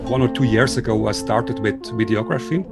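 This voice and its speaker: Swiss man, earnest and halting interviewer. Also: medium pitch, vocal fry, loud music behind the voice. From Switzerland. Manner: earnest, polite, halting speech. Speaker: interviewer and video series host. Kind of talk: informal remote interview.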